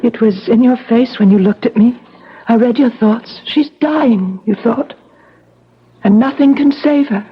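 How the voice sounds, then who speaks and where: A woman playing a frail, dying young woman in a 1970s radio drama, weary, halting and plaintive. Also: a little high, slightly rough. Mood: weak, despairing. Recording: muffled 1970s radio broadcast with music.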